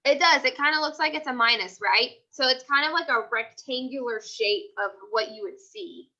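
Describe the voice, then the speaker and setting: An American woman with a high, bright voice reads aloud as an elementary teacher. She explains things simply and repeats key points for young listeners. The recording is a little dull and lo-fi. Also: moderate pace. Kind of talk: teaching read-aloud of a picture book with questions and asides.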